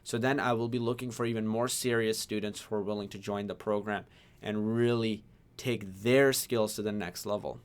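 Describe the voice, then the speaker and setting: Young male course instructor from Pakistan, smooth clear voice, easygoing livestream host. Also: on the low side, fairly even intonation, moderate pace. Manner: chatty, reads viewer names and questions aloud. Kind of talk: casual livestream Q&A.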